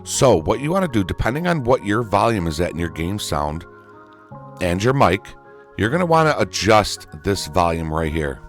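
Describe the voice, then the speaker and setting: Male gaming tutorial host, low-pitched voice, folksy and casual, faint music underneath. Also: big pitch swings, moderate pace. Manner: folksy, addresses viewers as y'all and folks. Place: indoors at a gaming computer, voice over screen recording.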